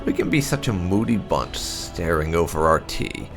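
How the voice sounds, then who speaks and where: A man hosting a gaming video, reading lore entries aloud in a theatrical, expressive way with occasional asides. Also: deep voice, normal speed, music in the background.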